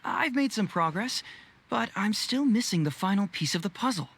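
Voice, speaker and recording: Playful, theatrical investigator for a game. Mid-pitched, animated intonation, composed and teasing. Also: female voice, normal speed, breathy edge. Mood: confiding, assured. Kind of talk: video game voice acting.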